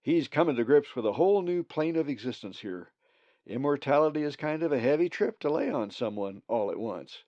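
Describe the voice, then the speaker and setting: A man's voice: medium pitch, expressive, brisk, darkish tone, dry recording, muffled recording, voice pushed up, natural voice. A man voicing a laid-back male raven with loose hippie slang.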